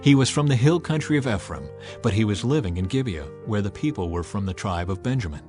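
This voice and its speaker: A man narrating a dramatized scripture audiobook with measured, dramatic storytelling and emphatic swells. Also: on the low side, expressive, a little mellow. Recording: music bed under the voice.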